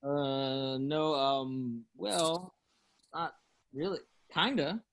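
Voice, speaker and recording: A man's voice: a little high, drawn-out words. A man reading the part of a nervous, casual teenage boy at a party in a table read.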